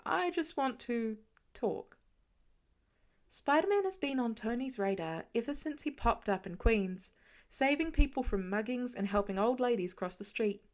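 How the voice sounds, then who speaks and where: A woman narrating a fan fiction story aloud with expressive storytelling. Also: a little high. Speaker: podfic reader.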